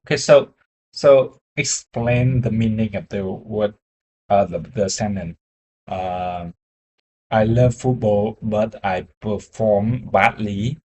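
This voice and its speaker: Vietnamese-accented male learner, low voice, very slow and halting, restarts a lot. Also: darkish tone, poor audio. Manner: hesitant, searching for words, restarts often. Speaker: English learner. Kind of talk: conversation practice.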